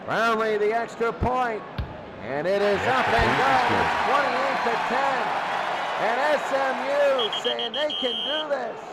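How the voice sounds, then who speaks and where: A man giving excitable live radio play-by-play of football, repeating phrases for emphasis. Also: very high-pitched, takes it slowly, vocal fry. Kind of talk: live sports radio commentary. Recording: radio call with music and crowd noise.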